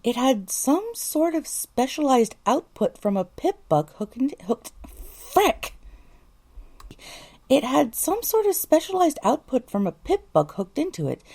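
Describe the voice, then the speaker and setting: A woman's voice: fairly high pitch, warm tone. A woman reading a scripted first-person story as a voice actor, correcting herself and mildly exasperated at her flubs. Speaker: voice actor, narrator.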